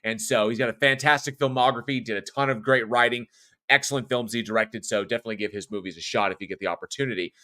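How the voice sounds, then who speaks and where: Bright-voiced rambling American man. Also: medium pitch, fast. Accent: non-regional American. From United States, born in California. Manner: rambling, often restarts and corrects himself. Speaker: horror show host and voice actor. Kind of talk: casual live-stream chat, reading viewer comments.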